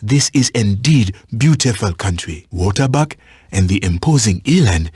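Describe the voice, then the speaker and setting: A man playing a watchful African safari hunting guide in a video game, giving instructions in measured, formal phrasing. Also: on the low side, animated, darkish tone, husky, no room sound, studio quality. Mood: calm, instructive. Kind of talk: scripted video game voice lines.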